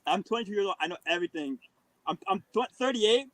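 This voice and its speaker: A man with a high, quick voice rambles in a loose stream-show chat. He keeps circling back and repeating the same lines, tumbling over his words. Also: animated, a bit bright, no echo, rough recording. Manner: rambling, repetitive, restarts often.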